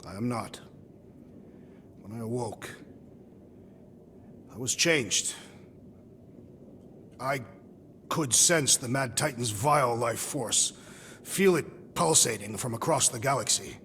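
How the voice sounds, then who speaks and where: An actor playing a solemn, proud alien warrior in a video game cutscene, speaking formally and gravely. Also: male voice, mid-range voice, lively intonation, slow pace, long pauses, fairly bright tone, in a large hall, slight background noise. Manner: formal, grave, literal-minded diction.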